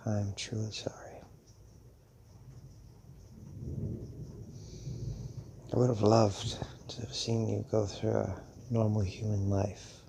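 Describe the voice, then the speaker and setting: An actor playing a centuries-old, fatherly male vampire in an intimate audio roleplay, speaking haltingly and musingly with hums and long pauses. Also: fairly low pitch, gravelly, darkish tone, a little hiss or hum. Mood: regretful, sorry.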